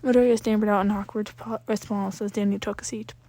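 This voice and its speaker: A woman's voice: medium pitch, steady tone, fast talker, mellow. A woman reading scripted fiction aloud as an amateur story narrator.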